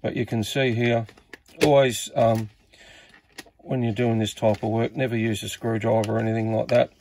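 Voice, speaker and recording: An Australian man talks through hands-on repair work in a casual, unscripted way. His voice sits at an average pitch with plenty of fry and gravel. He thinks aloud hesitantly, like a hobbyist showing the job as he goes. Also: flat delivery, normal speed, a little mellow, slight background noise. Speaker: radio repair hobbyist presenter.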